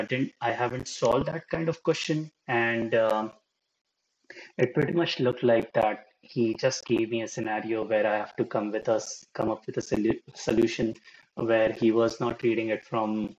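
Young man, hesitant casual talk, flat delivery, slightly dull lo-fi sound, faint hiss. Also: medium pitch. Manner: hesitant, many fillers and restarts. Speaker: streamer. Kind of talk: casual chat. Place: small room indoors. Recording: slightly dull lo-fi stream audio with faint noise.

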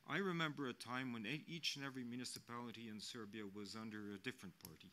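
A man in his sixties speaking as a mission official on a panel, measured and earnest, often restarting his sentences, into a desk microphone in a press hall. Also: medium pitch, sharp tone, a little hiss or hum. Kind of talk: unscripted panel remarks.

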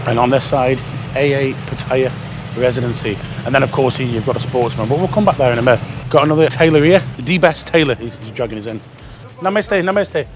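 A man's voice: medium pitch, a little fast. A man in his fifties walking city streets and chatting casually as he points out shops and bars for his vlog. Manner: chatty, pointing things out as he walks. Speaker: vlogger walking tour guide. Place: outdoors on a busy city street. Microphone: action camera worn or handheld. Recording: background music under street sound.

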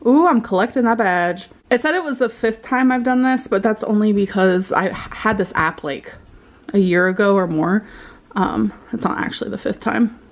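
A young American woman talks informally to her camera in a room at home. Her voice sits at a middle pitch for a woman. She speaks in a chatty, slangy vlog style, often dropping in "like". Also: moderate pace. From United States. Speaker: vlogger. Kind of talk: casual chat to camera. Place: indoor living room at home.

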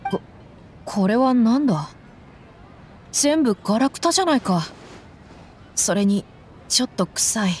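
A woman's voice: on the high side, expressive, unhurried, pauses often, slightly rough. A woman playing a tough, blunt young female mercenary who talks brusquely and casually in a Japanese anime game. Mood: frank, surprised.

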